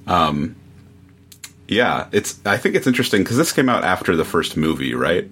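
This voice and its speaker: Male podcast guest, deep low voice, casual reviewing, measured and halting delivery. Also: sing-song, a bit bright, breathy edge.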